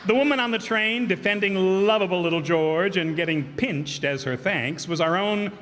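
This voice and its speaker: A man's voice: sits high, normal speed, a bit bright. A man hosting a community theater curtain call, rambling with fillers and self-corrections in a live hall. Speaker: host and cast member of a community radio theater group. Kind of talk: curtain-call speech thanking cast and crew. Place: live performance hall before an audience. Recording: reverberant hall, faint background noise.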